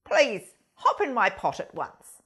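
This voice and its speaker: A woman's voice: fairly high pitch, huge pitch swings, slow, a bit bright, husky, voice pushed down, full character voice. A woman voicing a hungry storybook fox who is blunt and politely menacing.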